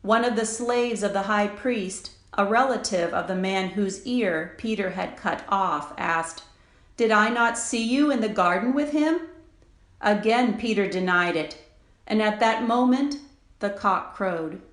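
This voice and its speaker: A woman's voice: medium pitch, a little slow. A woman reading a Gospel narrative aloud from a script as a church reader. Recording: roomy, echoing sound.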